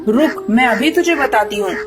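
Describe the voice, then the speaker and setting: A woman's voice: a little high, lively intonation, moderate pace, lightly acted. A woman voicing a fierce, protective auntie bird in a children's cartoon, scolding and combative. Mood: angry scolding. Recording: music under the voice.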